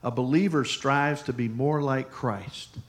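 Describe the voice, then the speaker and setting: Male pastor, mid-pitched, chatty sermon delivery with anecdotes and quick asides. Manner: conversational storytelling, lively asides. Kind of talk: sermon with personal story.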